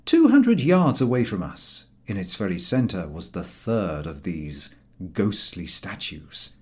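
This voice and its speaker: A man reading a comic story aloud as scripted audiobook narration, in an expressive storytelling style. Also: fairly low pitch, takes it slowly. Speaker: audiobook reader.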